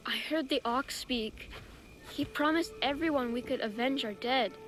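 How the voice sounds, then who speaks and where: An actress voicing a frightened, earnest child recruit in a video game, speaking haltingly and anxiously. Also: female voice, sits high, over music, lightly acted, poor audio. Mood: nervous, earnest. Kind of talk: video game cutscene acting.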